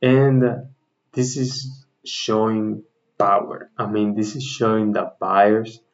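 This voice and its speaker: A man's voice: lowish voice, fairly even intonation, slow pace, dull audio. A man from the Dominican Republic with a Dominican Spanish accent and Spanish as his first language, hosting a casual trading explainer, chatty and often correcting himself as he talks to viewers. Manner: chatty, self-correcting, addresses viewers as guys. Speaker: trading channel host.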